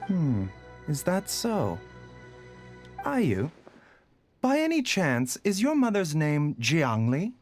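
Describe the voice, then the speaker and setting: A man voicing a calm, refined and courteous doctor in a video game, speaking politely and formally. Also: on the high side, slow pace, long pauses, faint music behind, exaggerated voice.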